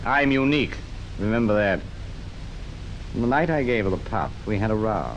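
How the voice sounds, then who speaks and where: A man's voice: lively intonation, moderate pace, dull audio, natural voice. An actor playing a cocky, charming conman officer in an old radio drama, talking in a breezy, boastful way. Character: cocky, charming male conman officer recalling his swindles. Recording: old radio recording, faint noisy rumble.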